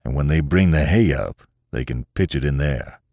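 Storybook old farmer, acted by a male reader: deep, gruff, solemn, rustic, few words. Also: moderate pace, a little mellow, no echo, studio quality. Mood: defiantly apologetic. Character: stern taciturn old farmer husband, defiantly solemn.

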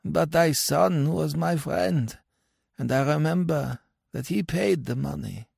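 A man's voice: fairly high pitch, gravelly, a little mellow, slightly rough, dry recording, studio quality, voice pushed up, exaggerated voice. A man voicing a mournful dead villager of sixty, speaking archaic words from the grave in a storybook. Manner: slow, mournful, archaic speech. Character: mournful sixty-year-old dead Breton villager recalling losses. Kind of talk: dramatic storybook reading.

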